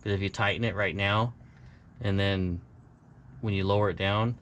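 A young man in a garage at night, casually explaining, step by step, how he lowers his car, picked up by a camera mic on the floor. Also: fairly even intonation, normal speed, fairly bright tone, highs cut off. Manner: laid-back, laughs easily, explains step by step. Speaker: car vlogger working on his car. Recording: tool clatter and ratchet noise.

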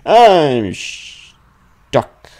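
A man's voice: on the high side, sing-song, drawn-out words, a little mellow. A man giving casual, thinking-aloud commentary on a horror game, grumbling and muttering with sudden exclamations. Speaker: let's play gamer.

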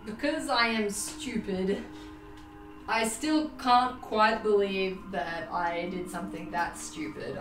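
Animated Australian woman livestreaming from afar. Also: fairly high pitch. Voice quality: animated, laughing, self-mocking. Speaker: livestream host. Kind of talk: casual livestream chat. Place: home sewing room. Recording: roomy, distant, faint music behind.

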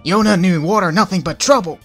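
Woman doing a gruff young yak girl, blunt, broken phrasing, loud. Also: lowish voice, a little fast, soft background music, pitched above the performer's own voice. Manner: gruff, blunt, third-person speech.

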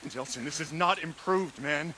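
A man's voice: fairly high pitch, moderate pace, sharp tone, a little hiss or hum. An actor playing a responsible, exasperated young policeman and protective brother, urgent and scolding, in a video game cutscene. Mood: worried, urgent.

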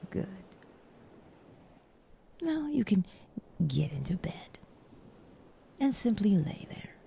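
A woman guiding a sleep meditation in a soothing, breathy voice that often drops near a whisper, with unhurried pauses between short instructions. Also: lowish voice, mellow, a little hiss or hum. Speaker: guided meditation host.

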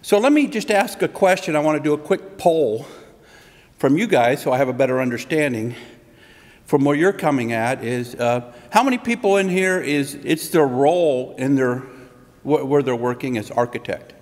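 A man's voice: on the high side, expressive, moderate pace, a little mellow. A middle-aged man speaking at a podium in a hotel conference room, giving an interactive, conversational talk as a consultant. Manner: conversational, restarts, laughs at own jokes. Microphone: podium mic. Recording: long hall reverb.

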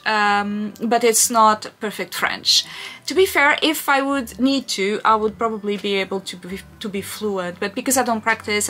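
A woman's voice: a little high, pushed and bright. A woman in her thirties from Portugal, speaking English with a Portuguese accent, chatting through a casual Q&A with many fillers and restarts. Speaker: vlogger. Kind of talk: casual Q&A chat.

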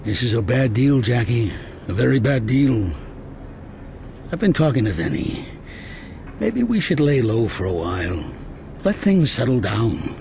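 A man voicing a wary, seasoned gangster in a video game, blunt and gruff in his delivery. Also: medium pitch, big pitch swings, a little slow, long pauses, a little mellow, a little hiss or hum. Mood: worried, disapproving. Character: wary seasoned male gangster, advisor to boss.

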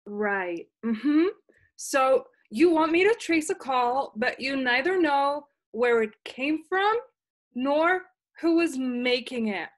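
High-voiced ditzy woman operator acted by a woman. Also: expressive, slow. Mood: puzzled, hesitant. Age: young adult. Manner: hesitant, filler-laden valley-girl phrasing. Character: ditzy, casual, apologetic female chief operator. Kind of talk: comic stage-play acting over video call. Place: small plain room at home. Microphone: webcam video call.

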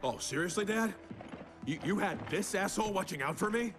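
A wry young soldier in a video game, cocky and sarcastic. The voice is slightly high and very animated, with music behind it. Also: male voice, brisk. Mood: indignant. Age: young adult.

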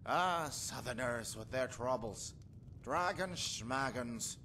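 A man's voice: medium pitch, animated, slow pace, pushed and bright, slight background noise. An actor playing a superstitious rustic husband and father in a video game, folksy, breezy and matter-of-fact about grim things. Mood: breezily macabre. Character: jovial superstitious rustic husband and father, callous, cheerfully macabre. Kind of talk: video game character acting.

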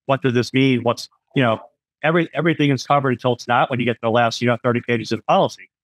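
A man's voice: medium pitch, very fast, no room sound. A middle-aged man, an independent insurance agent, explains things frankly over a video call, with many "um"s and "you know"s. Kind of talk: explanatory interview talk.

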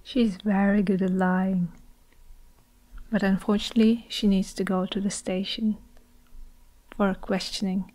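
A young woman playing a cold, scheming evil nurse in an improvised ASMR roleplay, soft-spoken and deliberate, often slipping into whispers. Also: medium pitch, little pitch movement, close to an everyday voice, low-quality recording. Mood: cold, defensive. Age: young adult.

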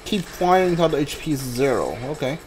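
Male gameplay streamer, slightly high voice, casual and hesitant, reading prompts over game music. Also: big pitch swings, brisk, a little mellow. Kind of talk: casual playthrough commentary, reading on-screen text aloud.

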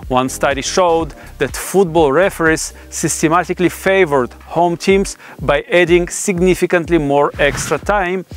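A man in his forties with a slightly high voice delivers a scripted explainer. His phrasing is formal and academic, as a presenter setting out research. Music plays faintly behind him. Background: background music.

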